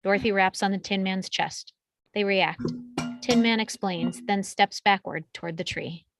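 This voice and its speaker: A woman's voice: medium pitch, moderate pace, noisy background. A woman reading out action and camera directions from a film script as scripted narration at a table read. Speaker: reader of stage directions.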